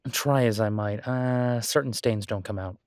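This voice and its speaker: A man's voice: lowish voice, normal speed, a little mellow, no echo, studio quality, close to an everyday voice. A man playing a caring, easygoing boyfriend in a roleplay audio drama, speaking casually and reassuringly, with small hesitations. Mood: casual, matter-of-fact. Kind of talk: roleplay audio drama, one-sided intimate conversation.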